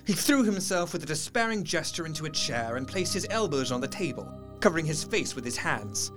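A man's voice: on the high side, a little fast, faint music behind. A man playing a proper, troubled Victorian doctor, narrating his own horror story formally and with feeling in an audio drama. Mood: alarmed. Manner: formal, expressive. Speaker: narrator in character.

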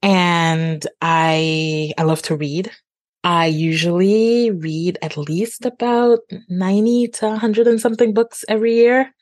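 A woman's voice: fairly low pitch, measured pace, no room sound, studio quality. A woman in her forties from Trinidad and Tobago, a guest on an interview podcast, talking openly and laughing often. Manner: laughs often, animated and open. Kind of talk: conversational interview.

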